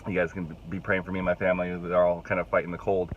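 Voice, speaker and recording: A man's voice: sits low, level tone, fast talker, dull audio, slight background noise. A young adult man, a youth pastor, chatting casually to his phone camera while fighting a cold, rambling with restarts. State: congested, fighting a cold, voice rough. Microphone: phone held at arm's length.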